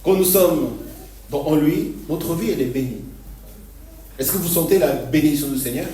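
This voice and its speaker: Male pastor, stop-start delivery with frequent laughs, mellow voice; distant mic in an echoey church hall. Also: a little high, slight background noise. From Argentina. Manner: halting, repeats words, laughs often. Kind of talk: sermon.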